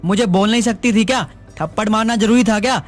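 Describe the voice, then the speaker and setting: A man's voice: high voice, fast, soft background music, natural voice. A man playing a husband in a moral story, emphatic and easily exasperated. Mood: angry, complaining. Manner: complaining, emphatic. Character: irritable husband, flirtatious but easily exasperated. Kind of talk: dramatised Hindi moral story.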